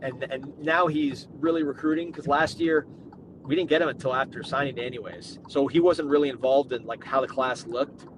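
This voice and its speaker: A man's voice: medium pitch, steady tone, normal speed. A man hosting a sports podcast, talking casually and rambling with frequent restarts and asides. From Cuban heritage. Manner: rambling, self-interrupting, conversational. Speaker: podcast host. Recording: noticeable background noise.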